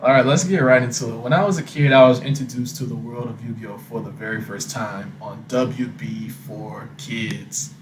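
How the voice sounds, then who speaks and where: A man's voice: mid-range voice, fairly even intonation, moderate pace, few pauses, a crowd in the background. A man telling a scripted personal story as narrator, recorded in an echoey room with background noise. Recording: roomy echo, noisy background.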